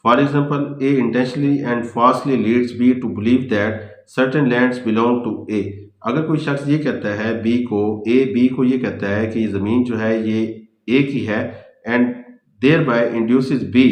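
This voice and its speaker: A man with a Pakistani accent lectures on law in an echoey room. He takes his time, moving slowly through formal legal wording and explaining it in a mid-pitched voice, with English terms mixed in. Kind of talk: instructional lecture, reading legal text aloud and explaining it.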